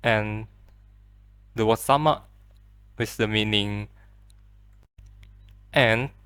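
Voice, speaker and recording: Slow, deliberate man. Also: fairly low pitch, a bit bright, clear, resonant tone, dry recording, studio quality. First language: Indonesian. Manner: slow, deliberate, step-by-step explaining. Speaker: language explainer. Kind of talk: solo educational explanation.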